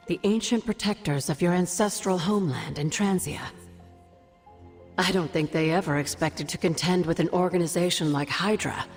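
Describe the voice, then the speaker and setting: An actress playing a wry older sorceress mentor in a game cutscene, measured and knowing, with fond teasing. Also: female voice, low-pitched, long reverb tail. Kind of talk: game cutscene dialogue, expository storytelling.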